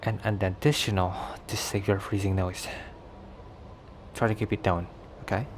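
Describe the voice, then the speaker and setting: Man acting as a young male werewolf, lowish voice, cocky, teasing, protective, intimate ASMR roleplay, noisy ambient background. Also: steady tone, lightly acted. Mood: cautioning, earnest. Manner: teasing, breathy sighs and chuckles, halting conversational delivery.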